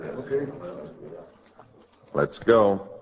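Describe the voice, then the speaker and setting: An actor playing a stern, terse prison guard leader giving orders in a 1940s radio drama, in a reverberant hall. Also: male voice, lowish voice, very animated, extremely slow, lo-fi sound, noisy background, exaggerated voice. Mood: tense, terse. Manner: terse, authoritative. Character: stern commanding male prison assault leader.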